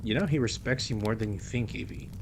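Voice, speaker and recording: A man playing a suave, playful mafia boss in an audio roleplay drama, with a teasing, smooth manner. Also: on the low side, quick pace, a little hiss or hum. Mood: relaxed, fond. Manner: teasing, smooth, code-switches into Spanish.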